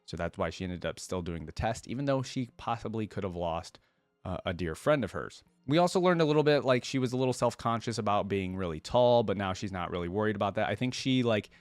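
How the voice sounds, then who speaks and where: A man with a lowish voice gives casual reaction commentary, thinking out loud in an informal way. The recording is close and dry, with faint music underneath. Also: no room sound. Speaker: reaction video host.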